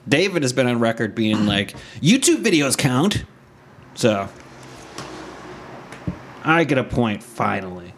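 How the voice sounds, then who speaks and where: A man's voice: mid-range voice, big pitch swings, measured pace, pauses often, slight background noise. A man casually chatting as a podcast host, joking, swearing freely and laughing often.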